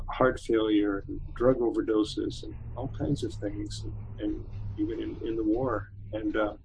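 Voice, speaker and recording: A man in his seventies, a poet, reading a poem slowly and then answering interview questions with many pauses and fillers. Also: lowish voice, vocal fry, a little mellow, slight background noise, low-quality recording. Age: 70s. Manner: slow measured reading, hesitant with fillers when chatting. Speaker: poet and novelist guest.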